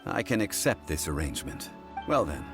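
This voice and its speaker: An actor playing a composed, erudite consultant and guide in a video game, speaking in formal, measured phrases. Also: male voice, rumbling low, sing-song, music in the background, heavily acted. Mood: composed, firm.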